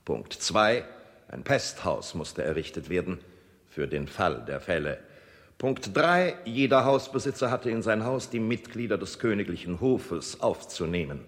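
Deep-voiced pompous royal chamberlain. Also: male voice, big pitch swings, a little slow. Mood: officious, indignant. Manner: pompous, emphatic, officious. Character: pompous, indignant snobbish royal chamberlain lord. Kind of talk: radio play monologue. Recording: reverberant hall.

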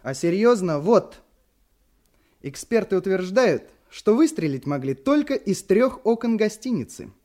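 An actor playing a breezy, wisecracking police operative in a Russian radio drama, giving clipped reports with a jaunty, playful manner. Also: male voice, sits high, big pitch swings, a little slow, dark tone. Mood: brisk reporting.

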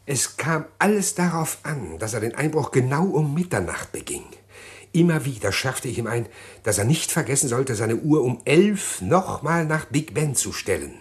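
Man narrating a radio crime drama. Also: medium pitch. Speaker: narrator in first person.

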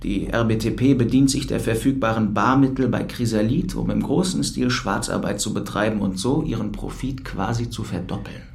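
An actor playing an insistent, businesslike police detective in a German-language radio drama. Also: male voice, lowish voice, moderate pace, vocal fry, hardly any pauses, darkish tone.

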